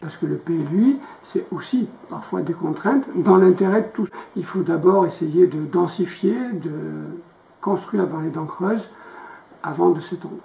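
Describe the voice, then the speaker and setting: A woman with a low, creaky voice explains in an interview. She sounds away from the microphone, with a little noise behind her. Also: moderate pace, warm tone. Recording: echoey room, distant mic, faint noise.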